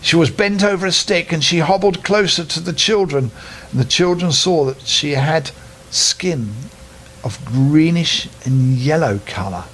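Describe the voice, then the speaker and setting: An older man reading a children's bedtime story aloud in an expressive, unhurried, dramatic storytelling manner. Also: fairly high pitch, slight background noise, rough recording.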